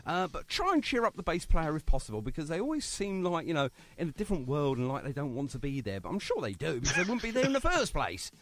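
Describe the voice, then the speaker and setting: A talkative man hosting a radio show, his voice sitting a bit high and swinging up and down a lot. He jokes, pokes fun at himself and keeps the chat moving. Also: big pitch swings, quick pace, hardly any pauses, fairly bright tone. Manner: chatty, jokey, self-mocking. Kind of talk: casual radio interview.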